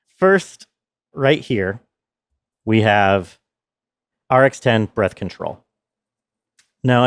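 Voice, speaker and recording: A man's voice: medium pitch, no room sound, clean studio sound. A man presenting his sound design work in a casual, explanatory tutorial style.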